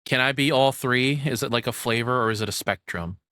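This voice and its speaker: A man's voice: mid-range voice, brisk, pushed and bright, smooth, dry recording, clean studio sound. A young man giving casual reaction commentary as a streamer, rambling and frank with some swearing.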